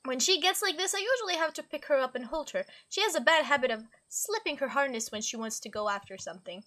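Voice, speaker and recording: A woman's voice: high-pitched, lively intonation, fast, pushed and bright. A woman hosting a game playthrough, reading dialogue aloud expressively and adding her own commentary. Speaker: gaming video host.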